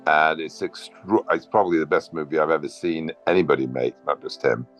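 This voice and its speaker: A man's voice: very deep voice, fairly even intonation, a little fast, a bit bright, soft background music. A man in his sixties introducing himself on a video call, in a rambling, earnest way, with spiritual phrasing. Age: 60s. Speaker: meetup participant, systems designer.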